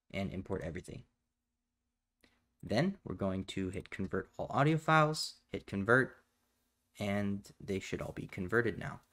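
A man hosting a step-by-step software tutorial in a casual, plainspoken way, recorded indoors at a computer. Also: fairly low pitch. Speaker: tutorial host. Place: indoors at a computer, voice over screen capture.

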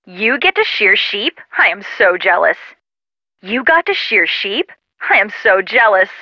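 Wry tomboy with lively pitch, phone-filtered. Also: female voice, unhurried, no room sound, heavily acted. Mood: teasing. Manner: dry, teasing, casual. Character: wry, tomboyish, unsentimental young woman. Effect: telephone filter. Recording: muffled, lo-fi phone-call sound.

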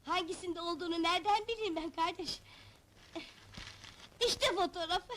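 A woman's voice: very high-pitched, normal speed, a bit bright, slight background noise. A woman playing a stubborn, hot-tempered middle-aged wife in a broad comedy film, scolding and wailing theatrically. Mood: indignant. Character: stubborn, hot-tempered wife hunting her lost husband.

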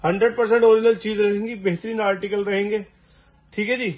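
High-voiced man pitching shoes in an echoey warehouse. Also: little pitch movement, fairly quick, highs cut off. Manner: pitching stock, repeating "thik hai ji". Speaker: shoe wholesaler. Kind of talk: sales pitch to camera. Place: large tiled warehouse stacked with shoe boxes. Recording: echoey warehouse, mic at a distance.

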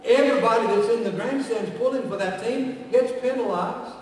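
An older man with a Southern American accent preaching a storytelling sermon from a church pulpit, moving between loud passages and hushed ones. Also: very high-pitched, moderate pace, a little hiss or hum. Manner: dramatic, voices quoted characters, swings from loud to hushed. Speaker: guest preacher. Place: church sanctuary, medium-sized, indoors. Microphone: far camera, pulpit mic. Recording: echoey church from far off.

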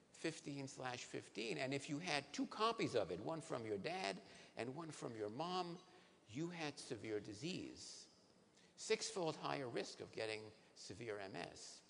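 Man, 60s, neurologist lecture, gravelly voice, long hall reverb, faint background noise. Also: medium pitch. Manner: animated, asks the audience questions. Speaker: neurologist giving a conference talk. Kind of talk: lively science lecture to patients. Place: large conference hall stage.

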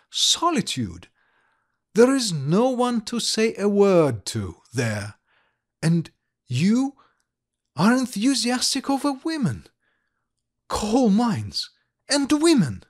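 A man's voice: high voice, big pitch swings, slow, voice pushed up, exaggerated voice. A man reading aloud, voicing an agitated young noblewoman who pleads urgently with a guest.

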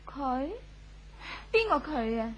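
Cool, composed, high-voiced woman. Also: big pitch swings, slow, exaggerated voice. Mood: cool dismissive. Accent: Hong Kong Cantonese. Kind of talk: 1970s Cantonese radio drama acting. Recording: faint noise on old radio recording.

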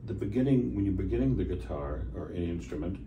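An older man interviewing a musician in casual conversation, relaxed and rambling with fillers. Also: low voice, quick pace, highs cut off. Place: small room with a framed map on the wall. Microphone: stand mic, filmed by a far camera. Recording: roomy, distant, noisy.

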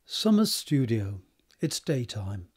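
Husky man narrating a screenplay. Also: mid-range voice, big pitch swings, slow pace. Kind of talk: scripted narration of stage directions.

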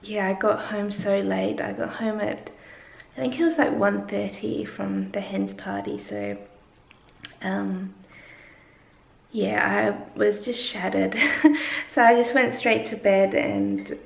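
A young woman vlogging casually at home, tired and croaky-voiced, rambling and laughing often as she chats to her camera. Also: mid-range voice, takes it slowly. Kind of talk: casual daily vlog. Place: home kitchen. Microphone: handheld camera at arm's length. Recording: echoey kitchen with faint ambience.

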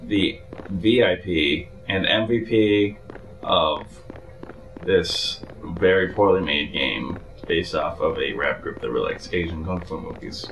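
A man co-hosting a gaming show, reacting loudly, laughing and teasing his partner as they play. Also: low voice, unhurried. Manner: excitable, swearing, laughing, teasing. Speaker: co-host playing along. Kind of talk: casual let's-play commentary. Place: indoor room, seated on a couch. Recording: roomy echo with loud game music.

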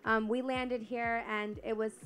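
A woman from the United States, a pageant titleholder, speaking earnestly and haltingly. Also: on the high side, level tone, a bit bright, smooth.

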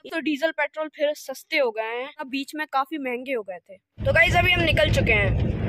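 High bright young boy, chatty vlogger. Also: a little hiss or hum, poor audio. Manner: chatty, keeps saying "okay" and "guys". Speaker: travel vlogger. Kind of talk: casual travel vlog. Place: outdoors and in a moving car. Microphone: phone held at arm's length.

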